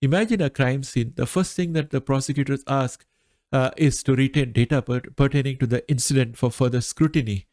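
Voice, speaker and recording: A middle-aged man with a nasal voice from an allergy, hosting a solo market commentary with measured delivery and frequent pauses. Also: medium pitch, a little mellow, no room sound. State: recovering from allergy. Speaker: finance channel host.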